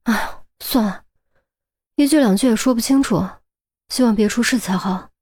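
A woman's voice: fairly high pitch, normal speed, darkish tone, slightly rough. Woman voicing a meek, flustered young man. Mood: helpless, meek. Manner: weak, hesitant, pleading. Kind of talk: audiobook character dialogue.

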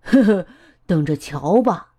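A woman voicing a friendly, patient, playful storybook elephant with an expressive, teasing manner. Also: mid-range voice, measured pace. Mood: amused, explaining.

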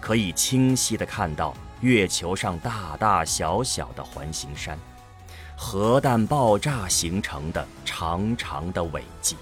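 A man's voice: sits low, animated, slow pace, faint music behind. A man narrating an audio drama from a script in a grave, dramatic manner.

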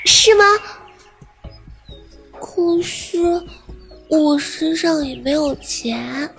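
A woman's voice: high-pitched, extremely slow, gravelly, soft background music, exaggerated voice. A woman playing an innocent, playful young carp-spirit maiden in an audio drama, with an inquisitive manner. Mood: hesitant. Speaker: voice actress.

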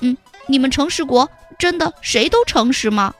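A woman voicing a nervous little boy ant who fibs and stammers in a rushed, higher voice. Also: lively intonation, faint music behind. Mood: eager. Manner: stammering and rushed when caught lying.

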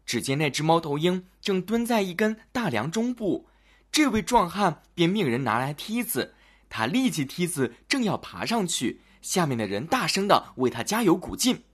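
Children's storyteller, animated swooping pitch, slightly husky voice, expressive fairy-tale narration. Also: moderate pace. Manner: expressive, dramatic delivery for children.

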